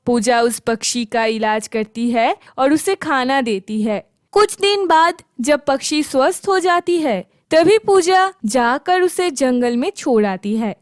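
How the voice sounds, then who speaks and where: A woman's voice: sits high, animated, slow pace, no room sound, clean studio sound. A woman narrating a scripted children's story.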